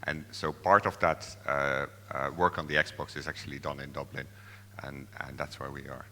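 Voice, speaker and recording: A very deep-voiced man presents at a conference, stopping often for fillers and restarts. His tone is bright and forceful, and long hall reverb hangs behind him. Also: little pitch movement, moderate pace. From Netherlands. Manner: hesitant, many fillers and restarts. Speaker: software developer presenting.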